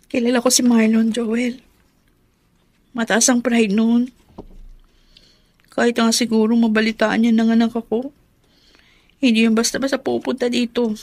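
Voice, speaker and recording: A young Filipino single mother in a radio drama. She is wistful, with a somewhat high voice. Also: flat delivery, moderate pace, long pauses, a little mellow. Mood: resigned.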